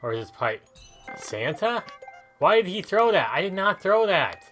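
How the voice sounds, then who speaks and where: A man's voice: sits high, sing-song, normal speed, fairly bright tone. A man giving casual gameplay commentary as a video host, chatting and thinking aloud as he plays. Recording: game music behind the voice. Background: video game music.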